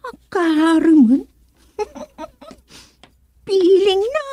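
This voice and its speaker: A woman's voice: very high voice, very animated, extremely slow, warm tone, slight background noise, exaggerated voice. A woman playing a gossiping schoolchild in a radio comedy drama. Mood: musing.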